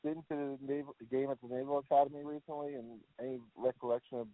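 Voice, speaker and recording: A man moderating a press conference call for a bowl game, brisk and businesslike. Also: medium pitch, steady tone, no room sound. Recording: phone line.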